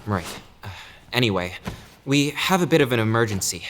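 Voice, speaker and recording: A man playing an awkward, apologetic attendant to a noble in an audio drama, speaking haltingly. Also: medium pitch, lively intonation, a bit bright, close to an everyday voice. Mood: apologetic, hesitant.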